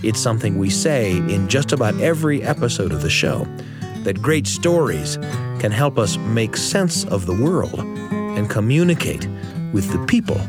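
A man hosting and narrating a radio story program in an earnest, deliberate storytelling way. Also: on the low side, very animated, over loud music. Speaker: radio show host and narrator. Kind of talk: scripted narration and studio conversation.

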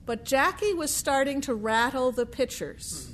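High, animated woman storyteller, unhurried. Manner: deliberate, dramatic pauses and emphasis. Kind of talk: narrative oral storytelling of sports history.

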